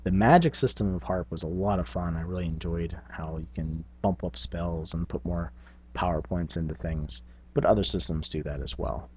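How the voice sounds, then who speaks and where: A man's voice: sits low, normal speed, darkish tone, breathy edge. A middle-aged man talking informally and reflectively as a channel host, hesitating and thinking aloud as he goes. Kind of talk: casual reflective vlog on tabletop gaming.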